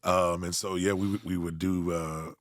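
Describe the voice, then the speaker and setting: A man's voice: low voice, little pitch movement, moderate pace, no echo, clean studio sound. A man with an American accent chatting casually as an interview guest, with a joking, self-deprecating manner. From Southern California, USA. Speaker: pastor, podcast guest.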